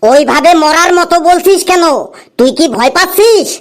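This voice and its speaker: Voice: extremely high pitch, animated, moderate pace. An actor voicing an irritable, bossy shopkeeper in a comedy cartoon, scolding and taunting with sarcasm. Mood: teasing. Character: irritable bald shopkeeper who checks exam results, bossy and mocking.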